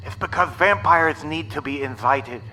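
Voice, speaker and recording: A man's voice: a little high, normal speed. A man playing a nerdy, pedantic boy sidekick in an audio story, talking dramatically over a tinny radio. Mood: pedantic, exasperated. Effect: tinny radio transmission. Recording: tinny walkie-talkie with faint noise.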